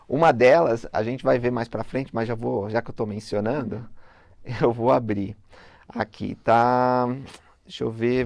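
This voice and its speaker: A man's voice: medium pitch, a little mellow, no echo. Man teaching a casual scripture lesson. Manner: conversational, thinks aloud, asks questions.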